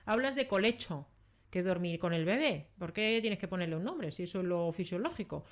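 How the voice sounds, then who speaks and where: A middle-aged woman, a native speaker of Finnish, talks as an expert guest in a conversational interview. Her voice sits a little low, and she explains discursively, often adding small tag questions. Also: lively intonation, fairly quick. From Karelia, southeastern Finland. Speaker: interview guest, doctor and science communicator.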